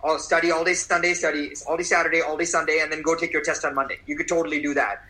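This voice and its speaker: Casual: ceo guy on a podcast, super punchy bright voice, pushes his points hard, repeats stuff for emphasis. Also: fairly high pitch, level tone, racing, low-quality recording. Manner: emphatic, persuasive, repeats for effect. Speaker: guest, company CEO. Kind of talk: interview answers.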